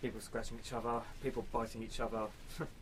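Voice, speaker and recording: A man's voice: medium pitch, flat delivery, quick pace, a little hiss or hum, natural voice, rough recording. A man playing a tough, abrupt ex-cop haunted by loss, speaking tersely in an amateur radio drama. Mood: gruff, matter-of-fact.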